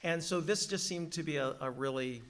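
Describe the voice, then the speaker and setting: A man's voice: fairly high pitch, moderate pace, fairly bright tone. A man speaking candidly and emphatically as a panelist and moderator at a Q&A in a studio room.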